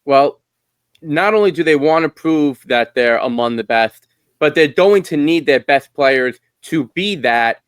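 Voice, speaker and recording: A man's voice: on the high side, animated, measured pace, clear, resonant tone, no echo, studio quality. A man hosting a sports podcast, talking conversationally and setting up questions for a guest. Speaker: podcast host.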